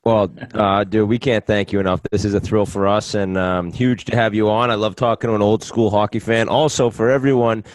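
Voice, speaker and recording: A man's voice: fairly low pitch, steady tone, fairly quick, few pauses, no room sound. A man co-hosting a podcast, speaking in a friendly, casual way. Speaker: podcast co-host.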